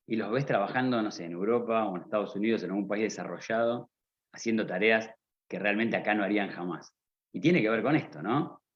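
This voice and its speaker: A man from Argentina with an Argentine accent, giving a motivational business talk at a conference, often asking the audience rhetorical questions. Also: medium pitch. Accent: Argentine Spanish. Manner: rhetorical questions, addresses listener directly. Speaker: conference speaker. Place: conference stage. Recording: slightly dull lo-fi sound.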